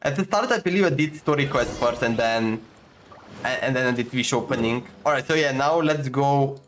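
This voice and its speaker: A man casually reacting to a game story, chatty and excitable. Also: mid-range voice, moderate pace, soft background music. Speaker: gameplay streamer.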